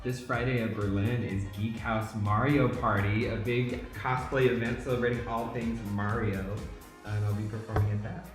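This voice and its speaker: Casting: male performer with a lowish voice, informally promoting an event, music playing in a very reverberant space. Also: steady tone, moderate pace. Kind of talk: casual event plug. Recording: very echoey, clear music behind.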